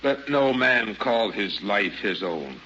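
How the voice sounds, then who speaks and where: An actor playing a solemn, earnest wandering zealot who declaims like a preacher in an old radio drama. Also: male voice, fairly low pitch, animated, slow, sharp tone, exaggerated voice. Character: solemn wandering zealot preaching brotherhood, gentle eccentric man. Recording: muffled, noisy old radio recording.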